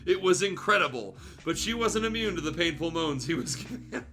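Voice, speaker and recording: A man's voice: fairly high pitch, animated, a little fast, pushed and bright. A man reading fan fiction aloud as a streamer, in a theatrical, irreverent storytelling style with joking asides.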